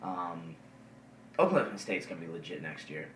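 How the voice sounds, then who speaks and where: A man's voice: deep voice, lively intonation, normal speed, distant mic. A man co-hosting a casual wrestling podcast, rambling with lots of ums and uhs. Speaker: podcast co-host. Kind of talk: casual chat about wrestling. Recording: echoey room, faint noise.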